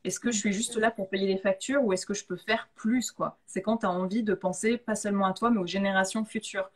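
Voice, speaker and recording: Woman, casual live chat, tarot reader, informal, enthusiastic, explanatory, mid-pitched voice. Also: fairly even intonation, brisk. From of Italian descent. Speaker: guest tarot reader and author.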